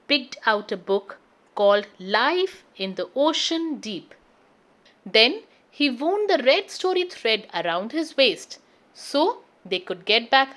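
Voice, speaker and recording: Female storybook reader for young children, high voice, slow and very lively. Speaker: children's read-aloud host. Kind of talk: expressive storybook narration for children.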